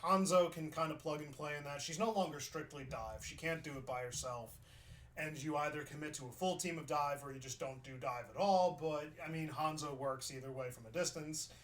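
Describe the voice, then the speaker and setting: A man from New York giving casual, chatty commentary while reviewing gameplay footage, thinking out loud and restarting his sentences. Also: fairly high pitch, brisk, a little hiss or hum.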